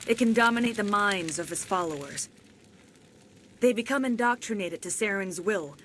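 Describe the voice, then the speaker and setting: A woman acting as a solemn alien woman in a video game cutscene. She speaks in formal, measured declarations with grave dignity. Faint ambient noise sits behind her voice. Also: mid-range voice, lively intonation, a bit bright, natural voice. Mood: solemn, regretful. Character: alien woman, cold imperious mouthpiece of an ancient plant, later freed and remorseful.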